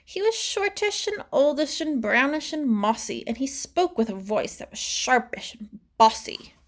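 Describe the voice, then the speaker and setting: A woman reading a rhyming children's story aloud with expressive, dramatic phrasing. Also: sits high, measured pace. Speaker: storybook reader.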